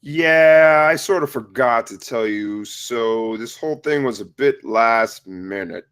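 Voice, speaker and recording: A man acting a casual, sheepish father in his fifties at an animated comedy table read. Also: mid-range voice, slow, smooth. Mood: apologetic. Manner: casual, hesitant, brushing off bad news.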